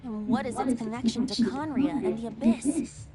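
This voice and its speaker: An actress playing a composed, gentle female guide in a fantasy game, eloquently telling old lore in measured phrases. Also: on the high side, lightly acted. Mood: composed, wistful. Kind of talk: scripted fantasy game dialogue. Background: music.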